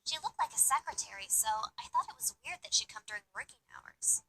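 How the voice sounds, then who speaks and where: A woman's voice: fairly high pitch, animated, fairly quick, bright, forceful tone, a little hiss or hum, poor audio. A woman voicing a friendly, easygoing young shop girl in a visual novel, speaking conversationally with some hesitation. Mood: casual, thoughtful.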